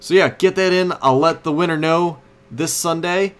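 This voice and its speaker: A man's voice: on the high side, normal speed, a bit bright, clear, resonant tone. A man giving casual, rambling gameplay commentary as host of a gaming series, often losing his train of thought.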